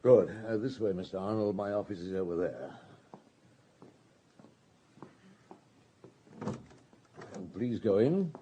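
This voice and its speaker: An actor playing a calm, persistent police superintendent in a radio drama, courteous but firmly authoritative. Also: male voice, fairly low pitch, brisk, noisy background. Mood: courteous, steely.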